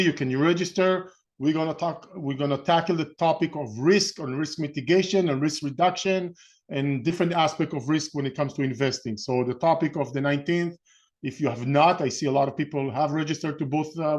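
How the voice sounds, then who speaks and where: A middle-aged man with an Israeli accent hosts an informal online webinar. His voice sits a little high for a man. He talks in a halting, rambling way, often backing up to rephrase what he started. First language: Hebrew. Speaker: webinar host and real estate investment coach.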